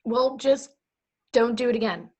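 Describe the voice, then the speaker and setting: Woman acting brusque teen girl captain, exasperated, scolding, slightly high pitch, amateur drama reading. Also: measured pace, darkish tone, slightly rough. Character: bossy, exasperated teenage girl sports captain. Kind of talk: amateur script table read.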